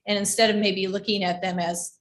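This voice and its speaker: Female district administrator, mid-pitched, giving explanations at a school board meeting; noisy recording. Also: little pitch movement, brisk. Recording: clearly noisy meeting livestream with clicks.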